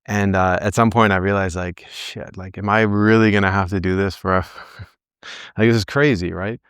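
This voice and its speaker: A man's voice: deep voice, steady tone, normal speed, a bit bright, smooth, no echo, clean studio sound. A man in his early forties giving an informal motivational coaching talk, conversational, often checking in with "right?" and voicing his own thoughts as quotes. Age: early 40s. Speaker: back pain coach leading a group session.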